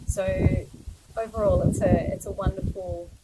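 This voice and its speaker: A woman in her thirties with an Australian accent, a yoga teacher speaking gently and earnestly in a personal message, with soft fillers. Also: mid-range voice, huge pitch swings, a little slow, heavy background noise.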